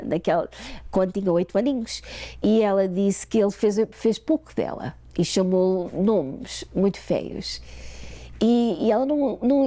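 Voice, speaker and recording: Portuguese-accented woman, middle-aged, mellow warm tone, rambling with lots of ums. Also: mid-range voice, no room sound. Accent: European Portuguese with a Canadian touch. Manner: warm, unhurried storytelling with many fillers. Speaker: guest author. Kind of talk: personal storytelling in a talk show interview.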